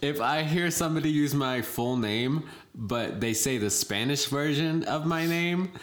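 A young woman in casual chat, lively and giggly, rambling loosely through her points. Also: low voice, a little slow.

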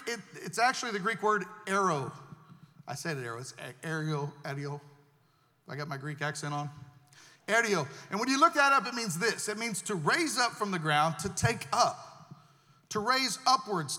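Male pastor, high bright tone, earnest sermon delivery, church hall reverb. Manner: earnest preaching with repeated words and restarts.

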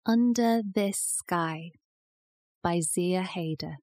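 A woman reciting poetry in a measured, deliberate style. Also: fairly low pitch, darkish tone, soft background music. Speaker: poetry reader.

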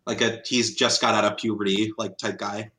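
A man's voice: lowish voice, flat delivery, normal speed, bright, forceful tone. A man co-hosting a podcast in casual group chat, over a noisy, clicky microphone. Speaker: podcast co-host. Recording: clearly noisy mic with clicks.

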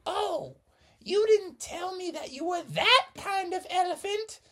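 A woman storyteller voicing a giddy, overexcited team mom in a shrieking, squealing high voice. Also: unhurried, a bit bright.

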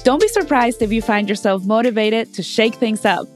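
A woman speaking as a podcast host, upbeat and expressive, addressing people directly in a conversational way. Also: a little high, moderate pace, faint music behind. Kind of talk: podcast intro and interview chat.

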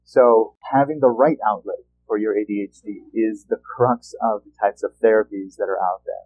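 A male therapist hosting a podcast, explaining treatments in a measured, patient way. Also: on the low side, steady tone, darkish tone, faint music behind. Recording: tinny phone-like line.

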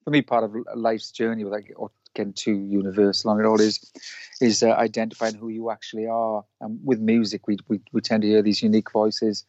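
A man from Wales, a musician guest, answering in a halting way with many restarts. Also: on the low side, flat delivery, dark tone, dry recording. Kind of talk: casual interview chat. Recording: dull dry lo-fi line.

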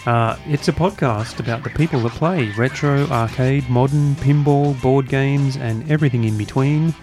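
A man hosting a casual podcast, croaky from a recent cold, with a dry, self-mocking humour. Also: medium pitch, normal speed, darkish tone, faint music behind. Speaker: podcast host.

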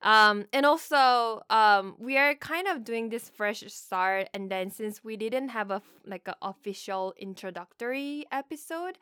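A woman's voice: fairly high pitch, a little slow, few pauses, bright, forceful tone, smooth, no echo, clean studio sound. A young adult woman from Korea with a Korean accent, co-hosting a podcast in casual chat, laughing often and hesitating with fillers. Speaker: podcast co-host.

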